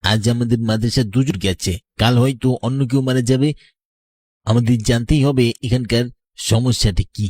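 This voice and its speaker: A man's voice: mid-range voice, normal speed, darkish tone, no room sound, lightly acted. A man voicing a concerned, sober young madrasa student in a horror cartoon.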